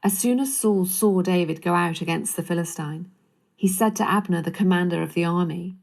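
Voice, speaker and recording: A woman's voice: lowish voice, normal speed, vocal fry, darkish tone, rough recording. A woman narrating a scripture story from a script.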